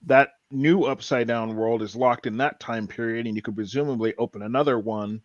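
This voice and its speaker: A man's voice: mid-range voice, steady tone, fairly quick, no room sound, muffled recording. A man co-hosting a podcast in casual discussion, thinking aloud tentatively. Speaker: podcast co-host.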